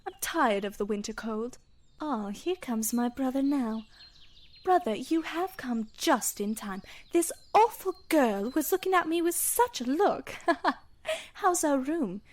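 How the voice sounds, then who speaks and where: A woman performs a cruel, haughty sorceress from an audio drama. Her high voice swings widely as she taunts and gloats. The delivery is theatrical and mocking. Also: expressive, unhurried, darkish tone. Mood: smug. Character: sadistic gloating female weather-mage villain, taunting and haughty.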